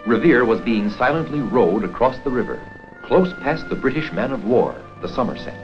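A man reading scripted documentary narration for an educational history film in a formal, measured storytelling manner. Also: medium pitch, very animated. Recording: dull 16mm film soundtrack with music.

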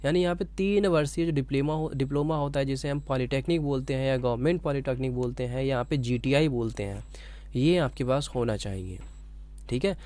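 A man's voice: mid-range voice, fairly quick, dark tone. A man teaching informally as an explainer, repeating points and often checking in with short tags. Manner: repetitive, checking in with "okay" tags. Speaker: teacher explaining an exam notification.

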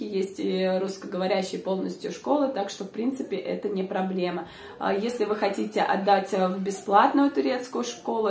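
Hoarse young woman vlogging at home. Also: medium pitch, darkish tone, roomy sound, far from the mic. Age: young adult. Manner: chatty, many filler sounds. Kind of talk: casual informative vlog. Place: small room indoors. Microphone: lapel mic.

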